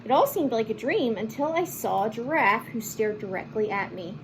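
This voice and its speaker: A woman reading a picture book aloud to children in an expressive, animated manner, with chatty asides and simple explanations. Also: fairly high pitch, normal speed, a little hiss or hum, low-quality recording. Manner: animated, acts out lines and explains words to children. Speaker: children's read-aloud host.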